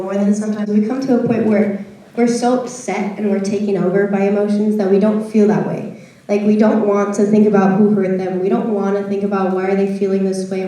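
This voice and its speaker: A woman's voice: mid-range voice, dark tone. A young woman in a casual discussion who talks chattily and fills her speech with "like". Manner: chatty, heavy use of "like". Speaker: audience participant. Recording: echoey hall from far off.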